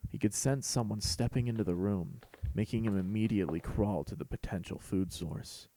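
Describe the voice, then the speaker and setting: A man's voice: fairly low pitch, normal speed, dark tone, poor audio. A man reading a scripted fan fiction story as host and narrator.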